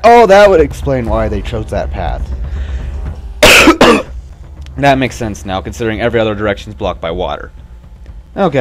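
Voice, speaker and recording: A young man hosting a casual let's play, rambling and wryly complaining about the game, a bit stuffy with a slight cough. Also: on the low side. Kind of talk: casual gameplay commentary.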